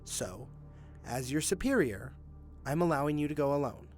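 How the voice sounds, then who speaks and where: A man's voice: fairly high pitch, animated, moderate pace, lightly acted. Earnest man playing police detective, music underneath. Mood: firm, in charge. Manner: earnest, authoritative, probing. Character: male police detective, Hazel's superior and former partner. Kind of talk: audio drama acting.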